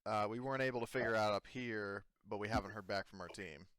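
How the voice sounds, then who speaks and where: A man acting as game master of a tabletop roleplaying game, improvising his narration haltingly as he searches for words. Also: fairly low pitch, a bit bright, smooth, no room sound.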